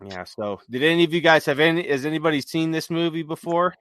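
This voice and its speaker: A man's voice: fairly high pitch, animated, fast, a bit bright, clean tone, dry recording. A man co-hosting a podcast, enthusiastically recommending things in a casual chat and repeating phrases for emphasis. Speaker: podcast co-host.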